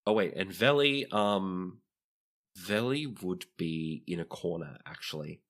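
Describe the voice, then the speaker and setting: A man with a low-pitched voice serves as game master. He describes the scene to his players in conversational tabletop narration. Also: expressive, unhurried, a bit bright.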